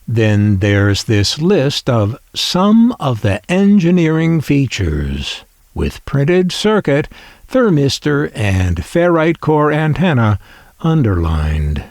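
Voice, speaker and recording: A man speaks slowly and lingers on each detail, his pitch rising and falling in a lively way. He shows off a collectible with dry, wry humor. The recording is close and dead, with no room sound. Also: no room sound. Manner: dry, wry asides while reading aloud from a manual. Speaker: collector video host. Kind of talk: unhurried descriptive show-and-tell.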